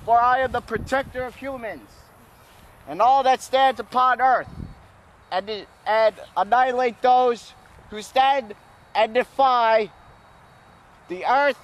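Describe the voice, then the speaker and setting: Brief: teen boy playing a lofty, self-important warrior hero, high voice, faint outdoor hiss on camera audio. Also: fairly bright tone, full character voice. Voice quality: grandiose, solemn speech. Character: pompous young male Asgardian warlord hero. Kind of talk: homemade amateur video skit. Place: outdoors on a suburban lawn.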